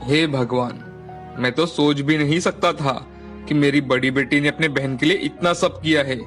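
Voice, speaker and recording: Man acting a doting, excitable, higher-voiced father. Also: moderate pace, soft background music, natural voice. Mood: remorseful. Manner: exclamatory, repeats words for emphasis. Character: doting, excitable father of two daughters, remorseful and devout.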